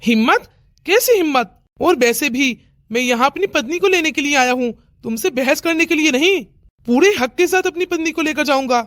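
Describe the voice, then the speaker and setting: High-pitched protective young husband, voiced by a woman. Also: animated, normal speed, fairly bright tone, dry recording. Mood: indignant, firm. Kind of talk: melodramatic Hindi cartoon story dialogue. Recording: music under the voice.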